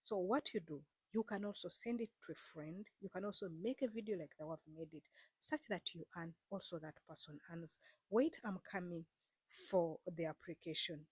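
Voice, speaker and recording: A woman's voice: on the low side, normal speed, husky, no room sound. A woman vlogger speaking straight to her viewers in a casual, earnest way, repeating herself and coaxing them with frequent fillers. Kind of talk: casual direct-to-camera explainer.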